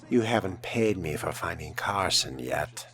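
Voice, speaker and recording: Man as slow, deep, dry vampire. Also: lively intonation. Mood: dry, composed. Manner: deliberate, droll, formal wording. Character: suave, dry, cool-headed male vampire fledgling. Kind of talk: role-play voice acting over a video game. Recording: loud music under the voice.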